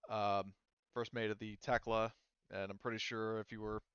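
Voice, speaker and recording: A man voicing a groggy, polite spaceship first mate in a tabletop game, halting and understated. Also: lowish voice, little pitch movement, fairly bright tone, clean tone, no echo, dull audio, lightly acted. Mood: weary, grateful.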